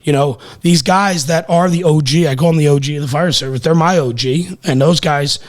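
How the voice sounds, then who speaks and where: A man's voice: a little high, fairly quick. A middle-aged man talking casual shop on a podcast, emphatic and salty, often repeating himself for weight. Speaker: podcast host and firefighter. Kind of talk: casual, animated shop talk. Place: small podcast room indoors at a table. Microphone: podcast microphone on a stand.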